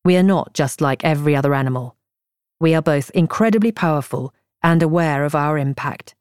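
A woman reading a nonfiction audiobook in a measured, articulate way, as a scripted narrator. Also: sits low, darkish tone, smooth, dry recording, clean studio sound.

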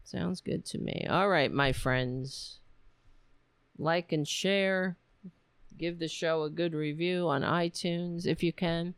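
Woman podcast host, voice going hoarse, slow gravelly delivery, clear dry close mic. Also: on the low side, fairly even intonation, no room sound. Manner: rambling, tired, talks to her pet. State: losing her voice. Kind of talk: casual solo sign-off chat.

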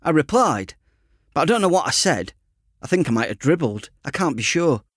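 A man reading an audiobook in the first person as a teenage boy, conversational, self-deprecating and expressive. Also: on the high side, normal speed, no room sound, clean studio sound. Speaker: author reading his own audiobook.

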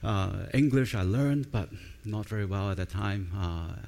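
A man's voice: fairly low pitch, lively intonation, measured pace, creaky, a little mellow. A middle-aged man from Hong Kong, a native Cantonese speaker with a Cantonese accent, preaching a conversational sermon as a pastor and informally checking in with his listeners. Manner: informal, with frequent check-in tags like "right?" and "okay?".

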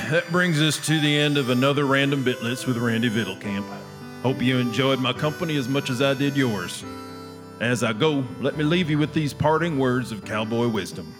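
A man acting as a folksy cowboy show host with a mid-pitched voice. He delivers silly scripted comedy deadpan, with plucked guitar music behind him. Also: lightly acted. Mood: mock-wise.

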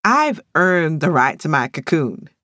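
A woman voicing a brash, playful American woman in an audiobook, loud and argumentative, with an American accent. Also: low-pitched, very animated, a little slow, no room sound, studio quality, full character voice. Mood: protesting. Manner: loud, protesting, teasing.

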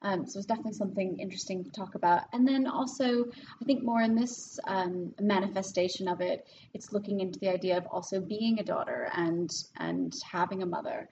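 A woman's voice: mid-range voice, a little mellow, recorded from a distance, dull audio, slight background noise. Articulate woman in her thirties, off-mic. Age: 30s. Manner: articulate and brisk. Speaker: actor in the cast. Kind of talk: casual group interview. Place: rehearsal room.

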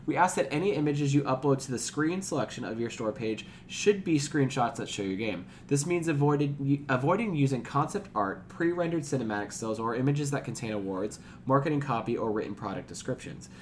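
A man's voice: medium pitch, slight background noise. A man co-hosting a gaming podcast in an informal way, moving between casual chat and reading news. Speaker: podcast co-host.

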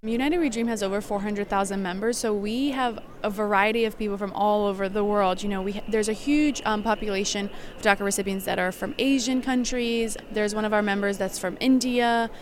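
A woman's voice: mid-range voice, fairly even intonation, fairly quick. A young woman from Brazil, an activist organizer, speaking casually and earnestly in a field interview with some fillers.

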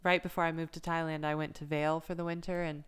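A thirty-year-old American woman with a low, very smooth and clean voice. She speaks informally and reflectively, like a yoga teacher chatting in an interview. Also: level tone, fast talker. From United States. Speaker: yoga instructor, interview guest. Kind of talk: casual conversational interview.

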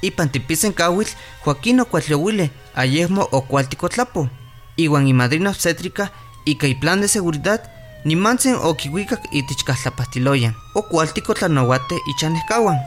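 An actor playing a calm clinic doctor in a radio drama, explaining and reassuring. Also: mid-range voice, normal speed. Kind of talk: radio drama narration and acting. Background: music.

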